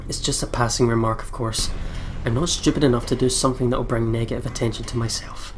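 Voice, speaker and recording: A man's voice: mid-range voice, steady tone, fast, slight background noise. A man playing a visual novel, reading it aloud dramatically and chatting casually in between. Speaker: let's play YouTuber reading a visual novel. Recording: music behind the voice. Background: game music.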